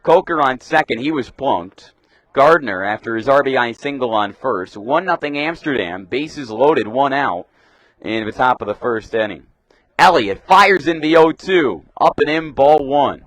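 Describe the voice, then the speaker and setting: A young man calling live baseball play-by-play for radio in a crisp announcer delivery, punching up the pitch calls. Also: a bit bright, no room sound. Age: young adult. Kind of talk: live sports commentary.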